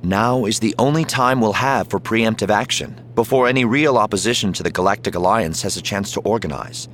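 A man voicing a calm, determined Jedi commander in an audiobook, speaking with controlled, persuasive assurance. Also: fairly low pitch, fairly quick, a little hiss or hum, voice pushed up, close to an everyday voice.

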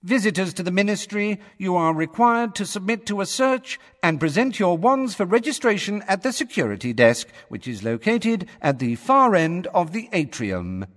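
A man's voice: high voice, big pitch swings, moderate pace, few pauses, a bit bright, in a large hall, voice pushed up. A man voicing a cool, polite female automated announcer in an audiobook, formal and detached.

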